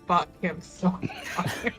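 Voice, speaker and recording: A woman with a bright, forward voice chats casually as a player in a tabletop roleplaying game. She is wry and teasing, and faint music plays behind her. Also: fairly low pitch, very slow. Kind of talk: casual jokey table talk.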